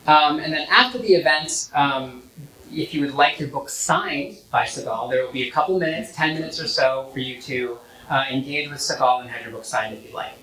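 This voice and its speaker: A man's voice: medium pitch, moderate pace, bright, forceful tone. A man hosting a university event, reading out the format and introducing the speaker, with some fillers. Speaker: event host. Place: university lecture hall. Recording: echoey hall, distant mic.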